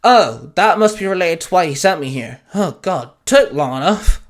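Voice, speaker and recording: A man's voice: sits high, lively intonation, normal speed. Man as an eager, brave boy trainer. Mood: curious.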